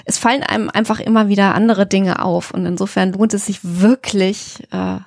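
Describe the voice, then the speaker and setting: A woman with a medium-pitched voice and plenty of fry co-hosts a podcast. She talks conversationally and somewhat hesitantly, in a dry recording. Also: steady tone, normal speed, darkish tone, dry recording. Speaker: podcast co-host.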